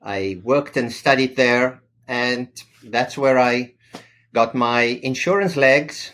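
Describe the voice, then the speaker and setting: Middle-aged man, measured and hesitant, interview guest. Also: medium pitch, fairly bright tone. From South Africa. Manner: thoughtful, hesitant with fillers. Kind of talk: podcast interview.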